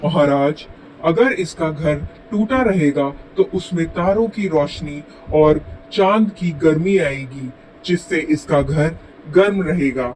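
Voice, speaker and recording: A man playing a grumpy, dismissive repairman in an animated fable, with a brusque manner. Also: on the high side, takes it slowly, a little hiss or hum, low-quality recording. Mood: glib.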